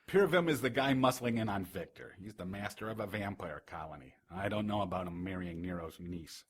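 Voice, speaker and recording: A man's voice: deep voice, fairly quick, breathy edge. An actor playing a crooked, defiant man under threat in an audio drama, strained and taunting. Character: defiant crooked male associate under threat, spiteful, pained.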